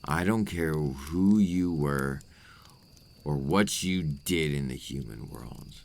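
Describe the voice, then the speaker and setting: Guy doing a super deep creaky vampire, slow and possessive, courtly asmr roleplay, close up. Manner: slow, intimate, formal old-fashioned phrasing. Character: possessive, remorseful male vampire captor, courtly and menacing. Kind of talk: ASMR roleplay acting, scripted monologue.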